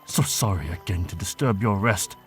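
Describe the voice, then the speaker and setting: A man's voice: sits low, moderate pace, soft background music, lightly acted. A man playing an anxious, courteous fish-folk prince in an audio drama, earnest and strained. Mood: strained, panicked.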